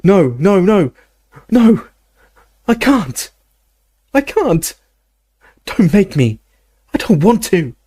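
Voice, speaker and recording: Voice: medium pitch, very animated, a little slow, few pauses, mellow, breathy edge, dry recording, studio quality. A storyteller voicing an old male wizard professor in anguish, begging and delirious as in an audiobook. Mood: terrified pleading.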